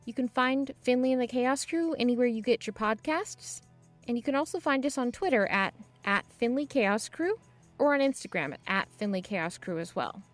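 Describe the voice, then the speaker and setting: A woman as a high-voiced, upbeat half-elf adventurer. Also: expressive, normal speed, lightly acted. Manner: upbeat, chatty. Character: cheerful, stubborn, optimistic non-binary half-elf half-demon adventurer. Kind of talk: first-person scripted podcast promo. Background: music.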